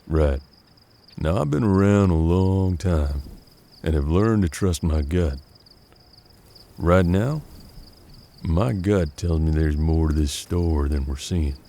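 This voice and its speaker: An actor playing a seasoned, gruff frontier detective in a western audio drama, measured and world-weary, with a gravelly voice. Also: male voice, low voice, mellow, a little hiss or hum. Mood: calm, instructive.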